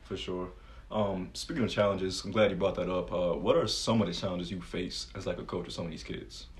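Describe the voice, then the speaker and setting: A man's voice: low voice, fairly even intonation, brisk. A man chatting casually as an interviewer and fellow coach, informal and blunt, with some offhand swearing. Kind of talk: casual chat.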